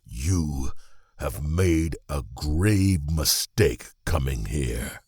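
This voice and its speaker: A man's voice: extremely low pitch, drawn-out words, a little mellow, full character voice. A man playing a stern, grave knight in a fantasy audio drama, giving commands over audible noise. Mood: grave, menacing.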